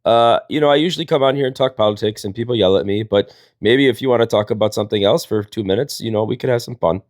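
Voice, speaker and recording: A man's voice: lowish voice, fast, dry recording, studio quality. A man, telling casual comedic stories in a rambling, folksy, jokey way as a livestream host. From Wisconsin, United States.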